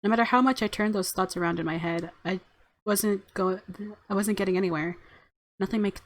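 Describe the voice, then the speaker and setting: A woman's voice: medium pitch, a little fast. A woman game streamer reading a visual novel aloud and reacting casually. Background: faint game music.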